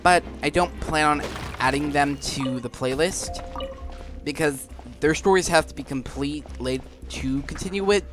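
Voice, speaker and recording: Rambling male gamer over loud music. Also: on the high side, measured pace. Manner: rambling, hesitant. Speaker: gaming video narrator. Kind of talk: casual gameplay commentary. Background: game music.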